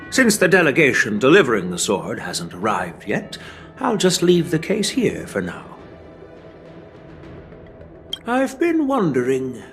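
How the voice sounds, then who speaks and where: Genial grandfatherly game elder, wry and courtly, measured yet expressive in pitch. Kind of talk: dubbed video game cutscene dialogue.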